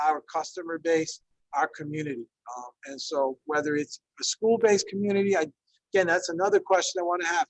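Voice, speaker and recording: A man in his fifties, originally from Ecuador, leads an online class over a video call with a high, gravelly voice. He talks in a loose, conversational way, with plenty of fillers and frequent check-ins with his listeners. The sound is dry and close, with no room around it. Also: no echo, low-quality recording. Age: 50s. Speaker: Spanish teacher leading a workshop. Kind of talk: online workshop presentation.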